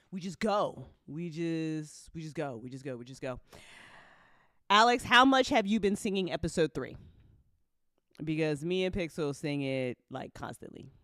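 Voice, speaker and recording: A woman hosting a casual livestream, effusive and excitable, repeating phrases and swearing freely. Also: low voice, no room sound, clean studio sound. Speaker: host and voice actor.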